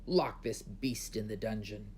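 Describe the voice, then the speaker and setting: A man voicing an imperious emperor and stern father in a storybook, commanding and forceful. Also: lowish voice, measured pace, slight background noise, close to an everyday voice. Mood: demanding.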